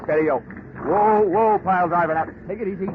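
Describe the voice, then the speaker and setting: An actor playing a wisecracking tough investigator in a 1940s radio drama, wry and clipped. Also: male voice, high voice, a little fast, narrow, tinny audio, a little hiss or hum, lightly acted. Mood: urgent. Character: hard-boiled wisecracking male investigator narrating his case. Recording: thin band-limited 1940s radio recording, music.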